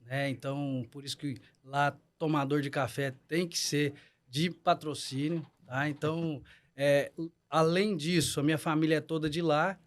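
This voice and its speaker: A man's voice: on the high side, steady tone, normal speed. A man from Minas Gerais, a guest talking easily and plainly about his career. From Minas Gerais, Brazil. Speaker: company co-founder, guest. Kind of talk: casual podcast interview.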